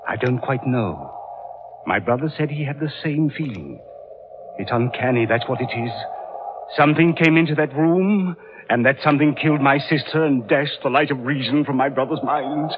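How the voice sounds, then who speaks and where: An actor playing a distraught, horror-struck English gentleman, faltering in old-time radio drama style. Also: medium pitch, expressive, moderate pace, lightly acted. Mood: horrified, grieving. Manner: agitated, faltering, melodramatic. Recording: muffled vintage radio recording, faint noise.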